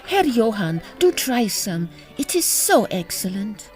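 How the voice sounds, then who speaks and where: An actress playing a gracious, brisk leading soprano of a Victorian opera company, refined and formal, in an audio farce. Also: female voice, mid-range voice, big pitch swings, a little mellow, soft background music. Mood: gracious, cheerful. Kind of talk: period farce audio drama acting.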